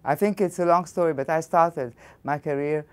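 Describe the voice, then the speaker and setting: A woman's voice: low-pitched, normal speed, smooth. An older woman from Egypt with an Egyptian accent, answering interview questions as a physics professor in a thoughtful way, often restarting her sentences. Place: studio interview against a backdrop.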